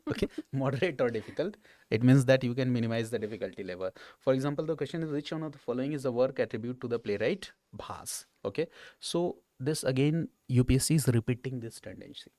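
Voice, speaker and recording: A man's voice: medium pitch, lively intonation, quick pace, mellow, dry recording. A man with an Indian accent, an exam educator talking through questions conversationally and didactically, often checking in with okay or right.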